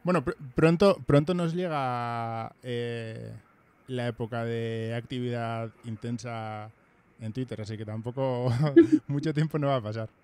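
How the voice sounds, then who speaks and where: Male host in his thirties, halting and hesitant, close mic, small home room. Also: mid-range voice, a little mellow, clear, resonant tone. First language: Basque. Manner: hesitant, many fillers and restarts. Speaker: podcast host. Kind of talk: casual video-call chat. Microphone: headphones and studio mic.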